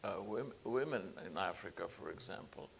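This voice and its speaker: A man speaking on a conference panel as a professor, halting often with fillers and restarts. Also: on the low side, expressive, gravelly, a little hiss or hum.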